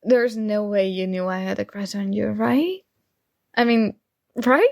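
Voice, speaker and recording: A woman's voice: mid-range voice, measured pace, gravelly, darkish tone, no echo, clean studio sound. A woman acting a young roommate who is shy, teasing and smitten with a friend, in a playful, conversational ASMR roleplay. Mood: shy, fond.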